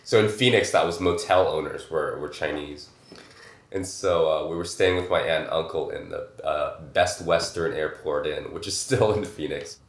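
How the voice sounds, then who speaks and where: Low-voiced guy, animated, firing off questions, echoey room. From Taiwan. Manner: animated, laughing, quick questions. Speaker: podcast guest. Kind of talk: casual conversation. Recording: roomy echo.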